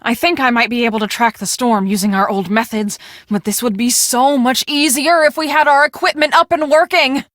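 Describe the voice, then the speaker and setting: An actress playing a brisk, bossy young woman on a storm-chasing team in a video game, speaking emphatically and expressively. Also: a little high, steady tone, fairly bright tone, no room sound, studio quality, close to an everyday voice. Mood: urgent, worried. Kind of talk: scripted video game dialogue.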